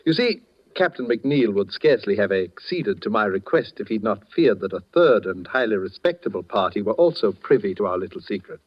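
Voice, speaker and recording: Suave, menacing gentleman criminal, old radio. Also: lowish voice, lively intonation, fairly quick. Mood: smoothly courteous. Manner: polite, apologetic, silkily menacing. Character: suave, courteous, cunning male master criminal posing as director. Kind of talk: 1940s radio suspense acting. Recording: dull, lo-fi 1940s radio broadcast.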